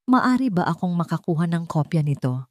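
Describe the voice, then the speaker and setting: A woman with a Filipino accent voicing a calm, resolute father in an audio drama, firm and matter-of-fact. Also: fairly low pitch, fairly quick, no echo, clean studio sound, lightly acted.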